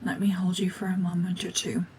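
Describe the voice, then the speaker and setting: A woman acting a cold, domineering mutant witch in an ASMR roleplay drama, hushed and often whispering, with terse, guarded commands. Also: lowish voice, flat delivery, fairly quick, slight background noise, close to an everyday voice. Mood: remorseful, tender. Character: renegade mutant cyborg gorgon witch, female, cold, domineering, slowly softening.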